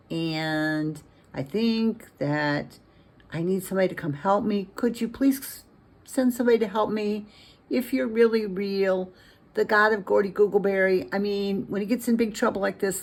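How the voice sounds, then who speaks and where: A woman voicing a trapped, excitable male agent in a told story, pleading and exclaiming in repeated phrases. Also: mid-range voice, vocal fry, voice pushed up.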